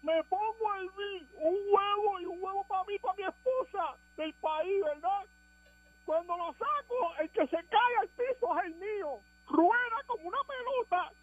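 A Puerto Rican man calling into a radio show, telling a joking story with lively delivery. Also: very high voice, measured pace, dry recording. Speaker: caller. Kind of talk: call-in radio chat. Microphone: phone. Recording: dull phone-line call with music.

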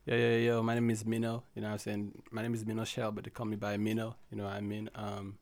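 A man's voice: fairly low pitch, completely flat delivery, fast talker, gravelly, darkish tone. A young man from Haiti, a guest chatting casually in a laid-back, slangy, rambling way full of fillers. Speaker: podcast guest. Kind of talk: casual chat.

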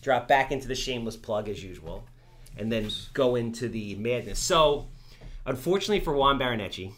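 Joking bright-voiced man hosting a podcast. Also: medium pitch, expressive, brisk, a little hiss or hum. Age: 30s. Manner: joking, hyped intro reading. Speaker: podcast host. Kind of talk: casual podcast chat.

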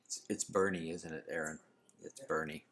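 A man's voice: very deep voice, steady tone, normal speed, a bit bright. A man hosting a podcast, joking and rambling with friends while tasting bourbon, laughing often. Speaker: podcast host. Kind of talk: casual chat over bourbon tasting.

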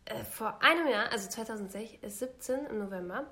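A woman's voice: a little high, fast talker, pushed and bright. A young woman with a cold, co-hosting a podcast and chatting at length with many restarts. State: has a cold. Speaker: podcast co-host. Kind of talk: casual chat.

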